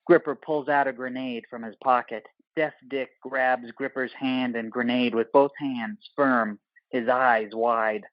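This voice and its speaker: A man's voice: mid-range voice, steady tone, unhurried, dry recording, lo-fi sound. A man narrating an audio play, reading stage directions in terse screenplay phrases.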